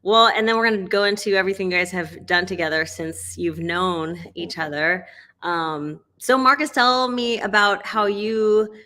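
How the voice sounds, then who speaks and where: A woman leads a casual interview with a bright, forceful voice. She talks upbeat, laughs often and fills pauses with "uh" and "um". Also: mid-range voice, steady tone, slight background noise. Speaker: host.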